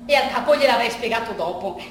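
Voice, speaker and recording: A woman performing a colloquial, bittersweet theatrical monologue, telling it in a halting, conversational way with hushed asides. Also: a little high. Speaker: monologue actress and narrator. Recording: very roomy, distant stage recording, faint noise.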